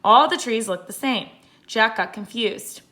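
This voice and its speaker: A woman, a teacher, reading a children's adventure story aloud with deliberate, expressive emphasis. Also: a little high.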